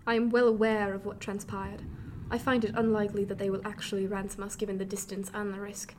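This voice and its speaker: A woman's voice: mid-range voice, level tone, fast talker, noisy background, lightly acted. A woman playing a bitter, sardonic 18th-century mother in a radio drama, with dry, formal diction. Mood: dry, cutting.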